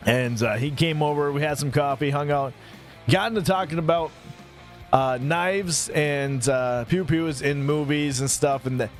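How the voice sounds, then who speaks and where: Man, thirties, live streamer, rambling casual chat, slightly high voice, faint music behind. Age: 30s. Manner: rambling, relaxed, thanks viewers by name.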